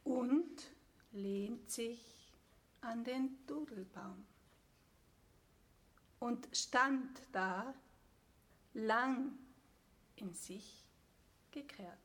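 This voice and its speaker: A woman playing a hushed storyteller of a creepy ballad, reciting haltingly and dreamily, trailing off softly in an audio play. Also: on the high side, heavily acted. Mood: pensive, hushed.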